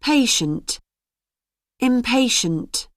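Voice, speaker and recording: A woman modelling pronunciation, reading isolated word pairs clearly for language learners. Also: fairly high pitch, lively intonation, extremely slow, no echo, studio quality.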